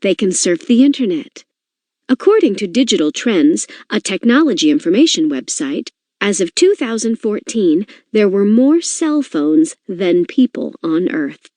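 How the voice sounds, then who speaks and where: A woman reading an educational book aloud in an expressive, clearly phrased way. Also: medium pitch, a little slow, dry recording. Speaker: reader of a children's nonfiction book. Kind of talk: educational read-aloud narration.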